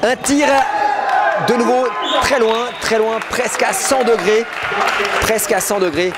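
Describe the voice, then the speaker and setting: A man giving live play-by-play commentary at a match, hesitant with fillers and rising to shouts on scoring plays. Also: very high voice, measured pace. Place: indoor sports hall at a match. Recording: loud crowd and heavy noise around. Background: crowd and bouncing balls.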